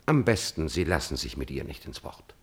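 An actor playing a scheming, condescending courtier in a classical radio tragedy, husky-voiced, with courtly, insinuating and halting speech. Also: male voice, very deep voice, sing-song, heavily acted. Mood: confidential, insinuating. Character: smooth-mannered, scheming male courtier, condescending. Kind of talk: classical German stage tragedy as radio drama.

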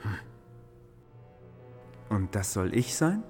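An actor playing an insecure, easily led king in a fantasy radio drama, husky, affable and self-doubting. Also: on the low side, sing-song, slow pace, a little mellow, soft background music. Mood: doubtful curiosity.

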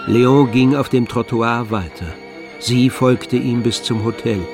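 A man narrating a literary radio drama in measured, deliberate phrases. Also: mid-range voice, fairly even intonation, music in the background.

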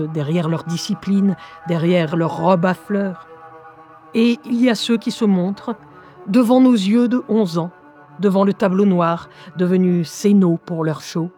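Actress as anxious wry woman reliving schooldays, intimate audio drama, unhurried short phrases, medium pitch, music behind. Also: dark tone, close to an everyday voice. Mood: tense, deliberate. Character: Rosette, anxious wry woman reliving being an eleven-year-old schoolgirl. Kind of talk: scripted first-person audio drama narration.

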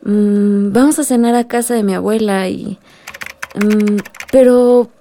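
A woman's voice: mid-range voice, steady tone, a little slow, warm tone, a little hiss or hum, close to an everyday voice. A woman playing a cheerful young village woman with a Mexican accent in a radio soap opera, shy around her sweetheart. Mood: pleased, friendly.

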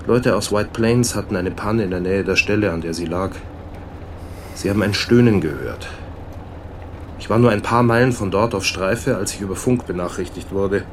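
An actor playing a sober police lieutenant in a German radio play, questioning in a matter-of-fact way. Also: male voice, on the low side, normal speed, pauses often, background noise, lightly acted. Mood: sober, factual.

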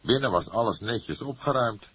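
A man's voice: on the low side, expressive, moderate pace, fairly bright tone, husky, no room sound, voice pushed up. A man voicing an agitated, defiant young murder suspect in an audiobook, speaking emphatically and with emotion. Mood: tense, insistent. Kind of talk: acted audiobook dialogue.

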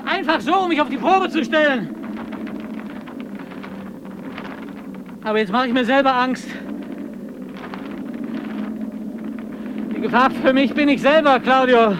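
A man's voice: extremely high pitch, lively intonation, fast. A man playing a lone, brooding polar adventurer in a radio drama, intense and increasingly anxious. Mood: anxious, urgent. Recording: very noisy recording.

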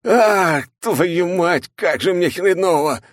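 A man's voice: mid-range voice, lively intonation, normal speed, no room sound, clean studio sound, voice pushed up, close to an everyday voice. A male narrator voicing his first-person hero, a crude, irritable young man who swears. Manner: swearing, gruff, in pain. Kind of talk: audiobook character voice.

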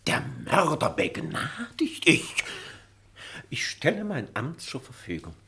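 Stiff, theatrical government minister. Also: male voice, a little high, huge pitch swings, takes it slowly, pushed and bright, slightly rough, heavily acted. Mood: indignant. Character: indignant, obsequious justice minister, an old courtier. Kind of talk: German radio play acting.

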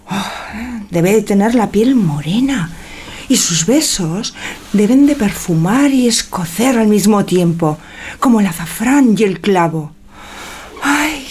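A sensual, capricious young wife in a theatrical radio play. Her mid-range voice lingers slowly over the words, lovestruck and petulant, with dramatic flourishes. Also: medium pitch, a little mellow, slightly rough.

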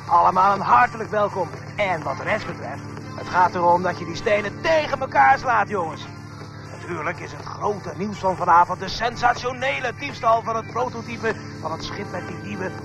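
A slick male newsreader of a galactic radio station in a radio comedy. His voice is high and showy, like a breezy announcer. Music plays clearly behind him. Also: rough recording. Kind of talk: radio news parody in a radio play. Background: loud music.

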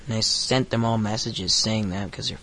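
A man's voice: lowish voice, steady tone, normal speed, pushed and bright, a little hiss or hum. A thirteen-year-old boy hosting his own podcast, rambling crudely with lots of fillers and swearing. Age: teens. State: recovering from hoarseness. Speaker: podcast host. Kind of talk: casual solo podcast rant.

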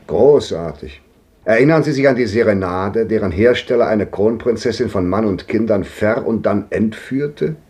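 Nostalgic old raconteur played by a man, very deep voice, 1950s radio play. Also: darkish tone, lightly acted. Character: old gentleman, nostalgic storyteller. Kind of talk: 1950s radio drama acting.

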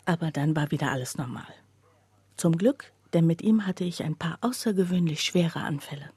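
An actress playing a troubled woman who recounts her own breakdown in a radio play, with a husky, breathy voice. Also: low-pitched, normal speed, a little mellow, no echo. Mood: confessional. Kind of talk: scripted first-person radio play narration.

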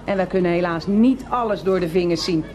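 An actress playing a self-important security guard in a radio drama, pompous and formal, always saying "we". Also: female voice, lowish voice, a little fast, darkish tone, a little hiss or hum, natural voice. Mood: officious. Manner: pompous, formal, speaks as royal we.

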